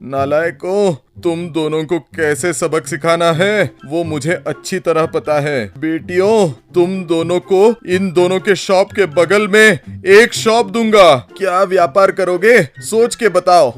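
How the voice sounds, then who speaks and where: A man's voice: sits high, unhurried, few pauses, soft background music. A man voicing an old village headman in a cartoon story, fatherly and wise but stern. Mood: advising. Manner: fatherly advising, scolding.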